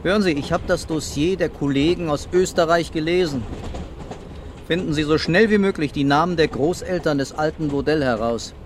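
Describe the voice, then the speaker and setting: An actor playing a brooding, terse police commissioner in a German radio crime drama. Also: male voice, fairly high pitch, moderate pace, a little hiss or hum, lightly acted. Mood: brisk, urgent. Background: music and vehicle ambience.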